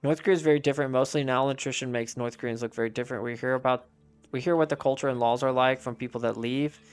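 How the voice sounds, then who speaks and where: Smooth-voiced chatty male game streamer. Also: mid-range voice, steady tone, quick pace, soft background music. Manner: chatty, reads chat aloud and answers, rambling restarts. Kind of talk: casual live-stream chat with viewers.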